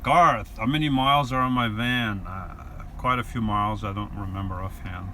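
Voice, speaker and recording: A man's voice: fairly low pitch, moderate pace, bright, forceful tone. A man in his forties with a New York accent, chatting easygoing on a live stream from his van and answering viewer questions with many fillers. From New York and New Jersey. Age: 40s. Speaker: vlogger. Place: inside a van. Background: inverter hum.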